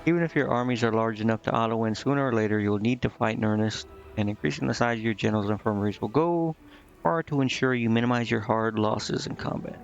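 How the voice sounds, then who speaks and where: Gravelly man, muffled game stream. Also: medium pitch, quick pace. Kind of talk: casual gameplay commentary, reading guide text aloud. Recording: muffled lo-fi stream audio over game music. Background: game music.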